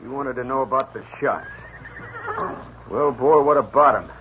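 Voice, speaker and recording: A man playing a gruff outlaw boss. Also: medium pitch, normal speed, fairly bright tone, a little hiss or hum, natural voice. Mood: menacing. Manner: gruff threatening. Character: menacing male outlaw gang leader. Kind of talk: 1950s radio drama acting. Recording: muffled old radio broadcast.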